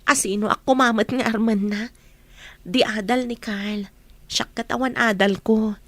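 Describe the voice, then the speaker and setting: A woman's voice: on the high side, fairly even intonation, a little slow, a bit bright, no room sound. A woman acting a kind, grateful young woman and caring older sister in a radio comedy drama.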